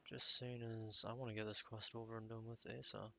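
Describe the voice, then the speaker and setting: A man's voice: on the low side, level tone, fairly quick, gravelly, bright, forceful tone. A man giving casual let's-play commentary in a bored, grumbling manner. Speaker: game streamer.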